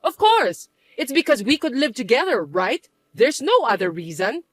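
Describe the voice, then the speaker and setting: A woman's voice: on the high side, sing-song, moderate pace, no room sound. A woman voicing a bossy, scornful older mother-in-law who scolds and accuses in an indignant, whiny way. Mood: shocked. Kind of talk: dramatized story voice acting.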